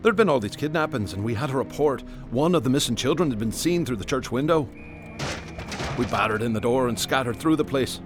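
Man playing a bookish, measured police detective. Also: mid-range voice, lively intonation, over music, close to an everyday voice. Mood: grave, recounting. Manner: matter-of-fact, measured, erudite. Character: bookish, level-headed police detective telling his grim story. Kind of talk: radio drama acting and first-person narration.

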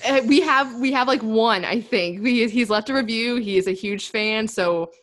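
A woman's voice: a little high, normal speed, fairly bright tone. A young woman co-hosting a podcast from an office in her apartment, talking in a chatty, upbeat way full of "like.". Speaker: podcast co-host. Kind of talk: casual podcast chat.

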